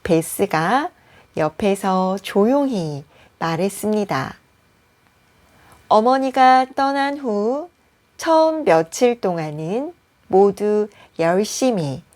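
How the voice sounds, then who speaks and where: A woman reading a bedtime story for language learners as a teacher, with careful phrasing and frequent pauses. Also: mid-range voice, a little mellow.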